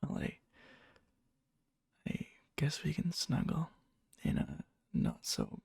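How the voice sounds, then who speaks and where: Man acting as a breathy, gravelly, needy yandere boyfriend. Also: medium pitch, huge pitch swings, no room sound, studio quality, heavily acted. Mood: relieved, shy. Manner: hushed, halting, often slipping into whisper. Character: needy yandere young man nervously confessing love to friend. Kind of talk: ASMR boyfriend roleplay, soft intimate storytelling. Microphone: close mic, dry.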